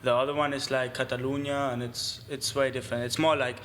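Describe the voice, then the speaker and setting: Young man, loose halting talk, German native. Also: medium pitch, little pitch movement, a bit bright, a little hiss or hum. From Germany and Spain. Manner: loose, halting, heavy use of "like" and swearing. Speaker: guest, BMX rider. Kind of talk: casual interview. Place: studio.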